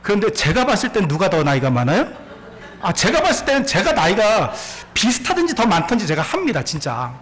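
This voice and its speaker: A man's voice: high voice, wildly swooping pitch, brisk, a bit bright. A man in his forties preaching a sermon as pastor, telling a story and laughing along with it, with many questions put to the congregation. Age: 40s.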